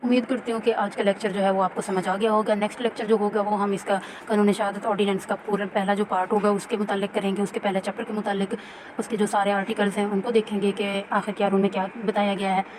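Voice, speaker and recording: A woman's voice: medium pitch, quick pace. A woman with a Pakistani accent giving an informal recorded lecture as a law teacher, explaining and often checking that students follow. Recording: clear steady background noise.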